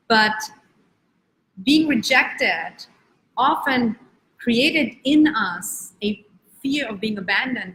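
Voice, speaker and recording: A woman's voice: on the high side, a bit bright, slight background noise, poor audio. Earnest coach woman from Spain. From Spain, raised in Germany. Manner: earnest, encouraging, uneven pace with drawn-out words. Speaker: life coach. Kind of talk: live-streamed motivational talk.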